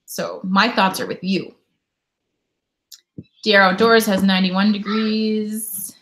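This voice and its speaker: A woman's voice: mid-range voice, steady tone, takes it slowly, fairly bright tone, slight background noise, rough recording. A woman from Canada in her thirties, hosting a casual live stream from home and chatting with viewers as she reads out their comments. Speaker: YouTube host.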